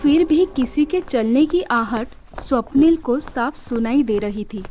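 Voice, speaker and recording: A woman's voice: sits high, animated, unhurried. A woman narrating a scripted horror story as a storyteller. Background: music.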